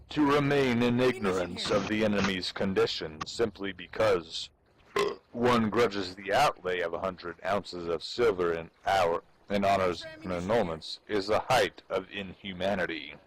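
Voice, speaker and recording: A man giving a theatrical, portentous dramatic reading of an ancient military treatise, with playful asides. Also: fairly low pitch. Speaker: amateur reader performing for a live audience of gamers. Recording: clearly noisy background.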